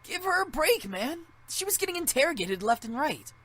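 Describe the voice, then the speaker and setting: A woman's voice: sits high, sing-song, a little fast, a bit bright, pitched above the performer's own voice. A woman voicing a casual, protective young incubus in a visual novel. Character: casual, protective young male demon housemate.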